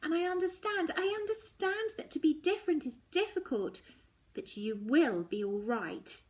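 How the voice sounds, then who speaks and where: Woman as a tender mother, hushed and breathy, earnest, soothing, children's audiobook character. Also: very high-pitched, animated, normal speed, voice pushed up. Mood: reassuring. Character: gentle, reassuring mother, earnest storyteller with quiet conviction. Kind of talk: dramatic children's novel reading.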